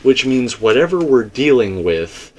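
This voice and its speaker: A man's voice: mid-range voice, sing-song, measured pace, a little mellow, slight background noise. A man talking casually and speculatively as a host, emphatic and rambling, often repeating himself. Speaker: video game theorist and host.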